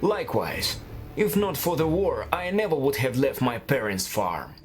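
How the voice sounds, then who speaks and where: Actor as a lively, amiable peasant soldier. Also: male voice, mid-range voice, normal speed, faint music behind, lightly acted. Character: amiable Russian farm-born soldier, hospitable. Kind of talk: video game dialogue.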